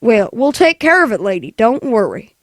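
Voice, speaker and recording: A woman voicing a gruff, dismissive, weary city police sergeant in a 1940s radio play, with brusque, patronizing delivery. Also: on the high side, sing-song, moderate pace, no room sound. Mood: routine, placating.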